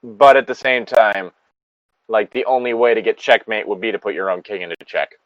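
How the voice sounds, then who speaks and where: A man's voice: lowish voice, fairly even intonation, fairly quick, fairly bright tone, dry recording, lo-fi sound. A man in a casual chat, rambling along and swearing freely.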